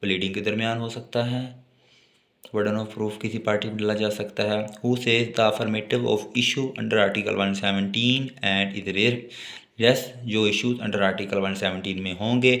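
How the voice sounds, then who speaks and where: Low, even-toned Pakistani man lecturing. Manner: switches between slow, deliberate reading in English and quicker explanation. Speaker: law lecturer. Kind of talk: lecture, reading out legal text and explaining it.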